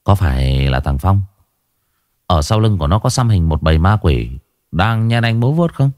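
A man voicing a blunt male police investigator who grills captured thugs in a gruff, coarse way. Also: low-pitched, sing-song, moderate pace, a little mellow, no room sound, studio quality. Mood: stern grilling. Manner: gruff interrogating, coarse-spoken.

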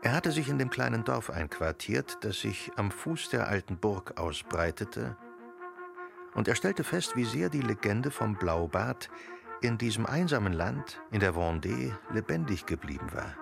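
A man reading literary narration for a radio play in a measured, descriptive storytelling manner. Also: lowish voice, very animated, creaky, music in the background.

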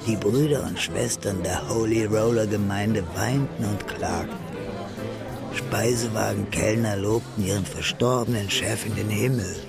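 A man with a low, gravelly voice narrates a radio crime drama in measured, scripted sentences. Loud music runs underneath his reading.

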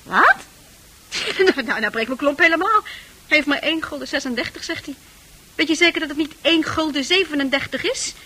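An actress in a Dutch radio play playing a scornful, proud prostitute with a brash, mocking manner. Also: female voice, high-pitched, expressive, a little fast, sharp tone, poor audio. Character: hard, scornful prostitute, proud, wounded underneath.

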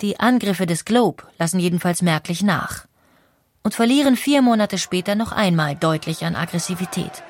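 A woman narrating a scripted history documentary with clear, even storytelling and rhetorical questions. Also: on the low side, normal speed.

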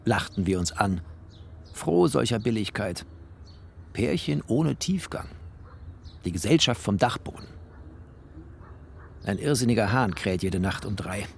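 A man's voice: fairly low pitch, creaky, slight background noise, exaggerated voice. An actor playing a bookish, wry, tender poor writer who narrates his life in clipped, poetic phrases in a radio play. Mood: wry, musing. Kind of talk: literary first-person radio play narration.

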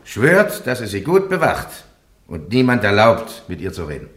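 Stern church judge, swooping delivery. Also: male voice, on the low side, huge pitch swings, moderate pace, slight background noise. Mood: stern, commanding. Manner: formal, authoritative, declamatory. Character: stern, formal male church judge presiding at trial. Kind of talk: radio play acting. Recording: echoey courtroom-like room.

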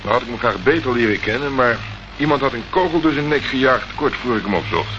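An actor playing a tough, unflappable private detective in a radio play, terse and dry. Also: male voice, medium pitch, lively intonation, quick pace, close to an everyday voice. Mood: dry, composed. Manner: terse, dry, probing questions. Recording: muffled, noisy old radio recording.

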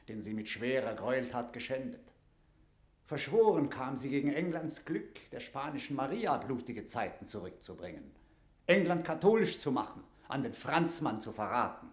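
Actor as stern jailer knight in echoing room. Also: male voice, medium pitch, lightly acted. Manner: grave, declamatory, accusatory. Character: stern, suspicious jailer knight, grimly righteous. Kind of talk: classical German verse stage drama for radio. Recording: roomy, echoing radio-play sound.

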